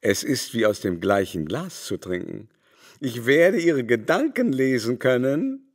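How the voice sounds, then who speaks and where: An actor playing a fussy, imperious aging baron in a radio play, affected, theatrical and condescending. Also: male voice, mid-range voice, sing-song, unhurried. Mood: fussy, teasing.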